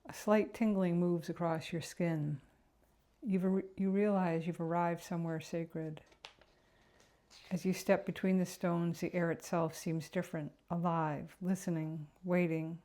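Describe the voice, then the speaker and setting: A woman narrating a guided meditation in a gentle, unhurried, reflective way. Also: on the low side, fairly even intonation.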